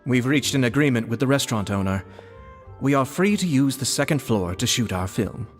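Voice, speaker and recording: A man plays a composed, courteous game character. His voice sits at a middling pitch, formal and measured, in a reverberant mix with music. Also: expressive, lightly acted. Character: courteous, composed male film crew member. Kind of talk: video game voice acting.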